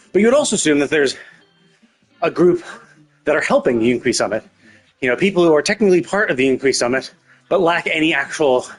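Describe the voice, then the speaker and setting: A man streaming a story game, thinking aloud in a casual, rambling, sarcastic way and swearing freely. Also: mid-range voice. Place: indoors, livestream setup. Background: music.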